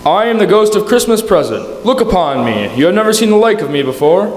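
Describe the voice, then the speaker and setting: A man's voice: sits high, very animated, a little fast. A man playing a towering, solemn giant spirit in a live radio play, speaking in a stately, declamatory way. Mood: grand, welcoming. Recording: echoey hall, music behind.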